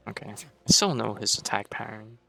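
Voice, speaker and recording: A man's voice: on the low side, moderate pace, vocal fry, pushed and bright, soft background music, low-quality recording. A man giving casual, offhand commentary while playing a video game, thinking aloud as he goes. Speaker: streamer.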